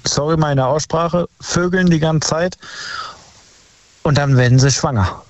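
A man's voice: on the high side, measured pace, dry recording. A man calling a talk show by phone, chatting in a blunt, slangy, opinionated way. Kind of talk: casual, slangy phone chat. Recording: muffled, dry phone call audio.

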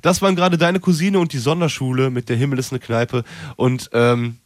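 A male radio host with a mid-pitched voice, recorded dry and close. He chats casually and rambles along in slangy, joking banter. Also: steady tone, brisk, dry recording.

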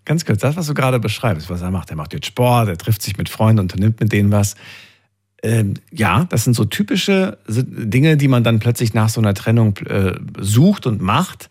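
A man's voice: fairly low pitch, a little mellow, breathy edge. A man in his late thirties hosting a live radio call-in show, chatting conversationally and probing with engaged questions. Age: late 30s.

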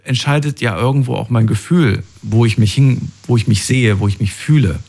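A man's voice: medium pitch, fairly quick, vocal fry, a little mellow, slightly rough. A man interviewing a guest, asking probing follow-up questions in a conversational way.

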